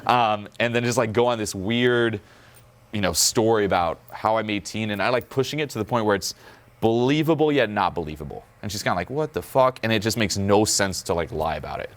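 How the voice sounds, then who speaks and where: A man coaching about dating in casual, profane talk, acting out quick bits of dialogue. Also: lowish voice.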